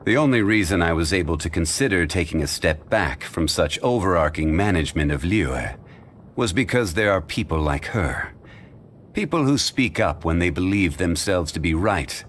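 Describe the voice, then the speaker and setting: A deep, ancient, composed sage. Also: male voice, animated, slight background noise. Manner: measured, formal and unhurried. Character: ancient composed male sage, calm and dignified. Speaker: game character voice actor. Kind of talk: studio game voice lines.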